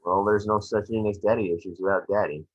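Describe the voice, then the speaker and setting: A man voicing a small, wisecracking, excitable detective creature at a script table read. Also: lowish voice, fast, no room sound, highs cut off, natural voice. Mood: wisecracking, brisk.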